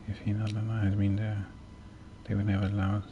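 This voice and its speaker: A man's voice: on the low side, monotone, brisk, dark tone, highs cut off, slight background noise. A man streaming a game, giving casual, informal commentary as he muses aloud.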